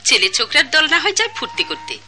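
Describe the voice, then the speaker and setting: A woman's voice: high voice, very animated, fast, sharp tone, soft background music, full character voice. An actress playing a shy, practical elderly wife who scolds her husband bashfully, in a radio drama. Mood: chiding. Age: older.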